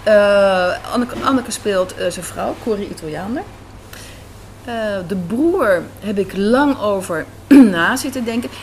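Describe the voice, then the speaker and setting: A woman's voice: medium pitch, animated, unhurried. A woman from the Netherlands answering interview questions in a conversational, emphatic way. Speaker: guest, radio drama writer and director. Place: café, indoors. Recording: faint café background noise. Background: faint café ambience.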